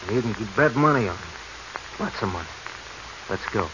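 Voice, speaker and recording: A man's voice: lowish voice, animated, moderate pace, natural voice. Male actor as greedy treasure diver, domineering, insistent, 1940s radio acting, hissy dull recording. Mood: commanding, impatient. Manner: bossy, insistent.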